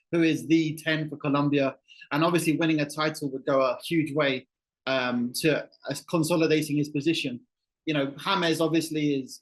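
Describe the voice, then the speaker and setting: English-born football journalist, middle-aged, slightly high voice, easygoing podcast guest. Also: male voice, poor audio. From England. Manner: relaxed, thoughtful, restarts phrases. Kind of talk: podcast interview answers. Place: Colombia, remote call.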